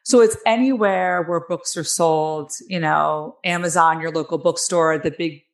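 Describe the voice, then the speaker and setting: Middle-aged woman guest, Jersey accent, raspy and nasal, low-ish voice, candid and emphatic. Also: steady tone, a little slow. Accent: New Jersey. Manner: earnest, emphatic, with many fillers. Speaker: guest author. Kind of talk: candid interview storytelling.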